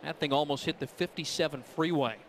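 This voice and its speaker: A man's voice: on the high side, a little fast, a little hiss or hum. A man calling a baseball game live as the play-by-play announcer.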